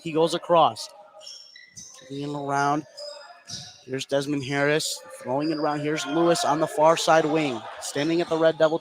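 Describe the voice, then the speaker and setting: Male basketball play-by-play announcer, somewhat high voice, excitable on the action, live radio feel with noisy ambience. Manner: rises in excitement on shots and steals. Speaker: play-by-play sports commentator.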